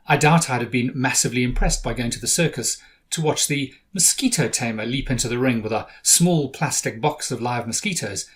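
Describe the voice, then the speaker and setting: A man's voice: mid-range voice, fairly bright tone. A middle-aged man from South Africa hosting a podcast, narrating from a script with dry humor. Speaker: podcast host.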